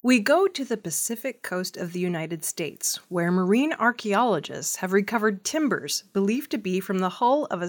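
A woman news reader delivering a scripted audio news report. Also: medium pitch.

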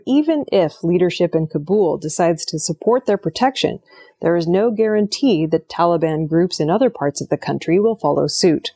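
A woman's voice: on the low side, dark tone. A woman reading scripted news narration as a news presenter. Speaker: news reader.

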